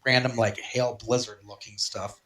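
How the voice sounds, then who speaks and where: Very deep, raspy woman. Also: fairly even intonation, fairly bright tone. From United States East Coast. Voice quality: raspy, low rumble. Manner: playful, teasing, quick to laugh. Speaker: podcast co-host. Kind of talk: casual banter.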